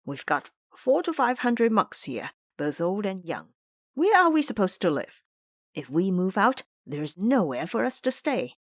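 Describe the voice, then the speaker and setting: A woman's voice: mid-range voice, sing-song, a little slow, no echo, studio quality. A woman voicing a fearful, grumbling old male abbot in an audio drama, bossy and querulous with his underlings. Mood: scolding. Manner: querulous, scolding.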